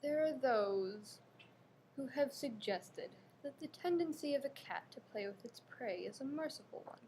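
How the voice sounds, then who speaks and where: A woman's voice: lively intonation, normal speed, dark tone, poor audio. A woman playing a smug, cruelly playful cat in readers theater, lofty and philosophizing. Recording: faint background noise, low rumble.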